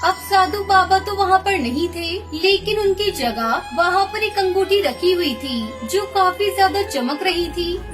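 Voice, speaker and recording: A woman's voice: very high-pitched, lively intonation, a little slow, hardly any pauses. A woman narrating a scripted moral story for children. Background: music.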